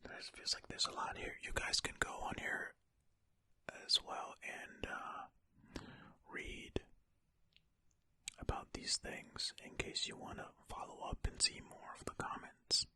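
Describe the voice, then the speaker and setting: An ASMR host speaking in a breathy, unvoiced whisper, reading aloud and commenting in a gentle, chatty, informal way. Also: unhurried, no room sound.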